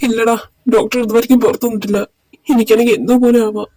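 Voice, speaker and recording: A woman's voice: a little high, flat delivery, fast talker, warm tone, no echo, pitched above the performer's own voice, close to an everyday voice, rough recording. Woman voicing a distraught young man. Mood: anxious. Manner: tearful and vengeful. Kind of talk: amateur audio drama voice acting.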